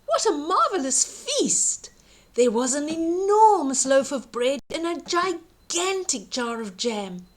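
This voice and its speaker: Expressive, very slow woman storyteller. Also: high voice. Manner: hushed suspense and emphatic, drawn-out words. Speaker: children's storyteller. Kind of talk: dramatic bedtime story read-aloud.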